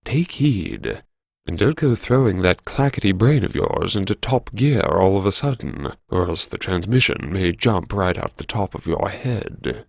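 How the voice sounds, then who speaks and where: A man performs a wry university grandee narrating an audio memoir. The voice is gravelly and swoops theatrically, lingering sardonically over words. It is recorded dry and close, with a slow, deliberate delivery. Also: sits low, big pitch swings, no room sound, exaggerated voice. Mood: wry, relishing. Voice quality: gravelly, husky. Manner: arch, sardonic, savouring words with dramatic pauses. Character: wry, theatrical, self-mocking university vice-chancellor narrating his haunted memoirs. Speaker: narrator of a serialised audio story.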